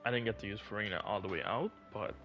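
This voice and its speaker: Low-voiced man streaming a game. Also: fast talker, bright, forceful tone. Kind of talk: casual live gameplay commentary. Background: game music behind the voice.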